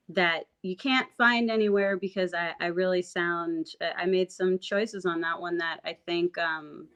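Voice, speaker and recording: Raspy low-voiced woman musician. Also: fairly bright tone. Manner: reflective, rambling with many fillers and restarts. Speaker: singer-songwriter guest. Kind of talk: casual interview chat. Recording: dull lo-fi audio.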